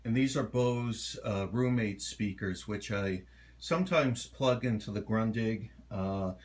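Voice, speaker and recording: A middle-aged man giving a casual, halting home tour of his hobby gear in a rambling, unhurried way. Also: fairly low pitch. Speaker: hobbyist showing his radio collection. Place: home kitchen.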